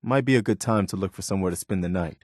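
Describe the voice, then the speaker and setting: An actor playing an earnest, loyal, plain-spoken militia man in a video game, sincere and measured. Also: low voice, background noise, lightly acted. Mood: dutiful, easygoing. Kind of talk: naturalistic video game dialogue.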